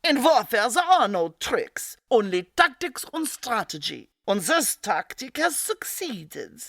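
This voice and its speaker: A woman's voice: a little high, sing-song, slow pace, fairly bright tone, no echo, clean studio sound, full character voice. A woman playing an evil, fanatical Nazi supervillain queen in a comedic radio play, with a German accent and a grandiose, menacing manner. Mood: grandiose menace.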